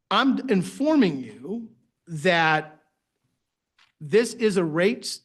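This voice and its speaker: A man with a Boston accent, giving a conversational presentation to a board at a public meeting, with phrase ends that trail off. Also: a little high. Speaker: agency director presenting to a board.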